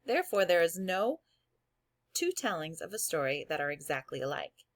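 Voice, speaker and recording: Dry-sounding woman teacher with a lowish voice. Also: very animated, normal speed, no echo. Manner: addresses pupils directly, encouraging, step-by-step. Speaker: elementary school teacher. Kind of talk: reading aloud and instructing a class in a recorded remote lesson.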